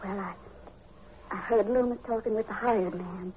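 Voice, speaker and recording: A woman's voice: mid-range voice, normal speed, a little mellow, lightly acted. An actress playing a timid, fearful teenage farm girl, pleading anxiously in a 1940s radio drama. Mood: fearful, hesitant. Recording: muffled old radio recording with faint hiss.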